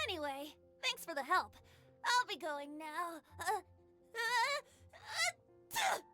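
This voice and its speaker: A woman's voice: very high voice, big pitch swings, slow, pushed and bright, faint music behind, exaggerated voice. A woman voicing a boyish young male bard in an anime-style game, playful and flowery in speech. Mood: sheepish.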